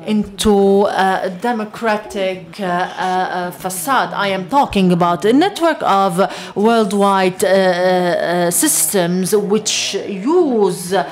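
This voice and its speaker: A woman giving simultaneous interpretation of a lecture, halting often with long hesitations. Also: mid-range voice. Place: lecture hall.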